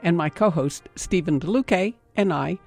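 A woman hosting a public radio arts program from the studio, speaking in a measured, articulate way as she introduces and interviews a guest. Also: lowish voice, vocal fry, a little mellow, soft background music. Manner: measured, articulate, spells things out. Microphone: studio microphone.

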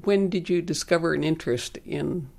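A woman hosting a public radio arts program from the studio, speaking in a measured, articulate way as she introduces and interviews a guest. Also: deep voice, vocal fry, darkish tone, dry recording. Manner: measured, articulate, spells things out. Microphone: studio microphone.

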